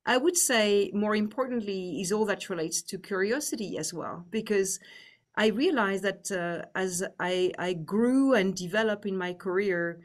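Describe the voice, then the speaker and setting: French-accented woman executive in her fifties. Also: mid-range voice. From France. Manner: thoughtful, reflective, frequent "um" pauses. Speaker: guest executive. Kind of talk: interview conversation.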